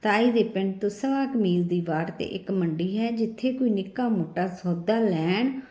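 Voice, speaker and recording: A woman's voice: medium pitch, normal speed, warm tone. A woman reading a literary short story as a scripted narration. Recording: roomy echo on the voice.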